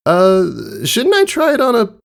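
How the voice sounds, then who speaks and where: A man's voice: high voice, huge pitch swings, measured pace, creaky, voice pushed up, exaggerated voice. A man voicing a nervous young pegasus filly in a storybook reading, hesitant and stammering.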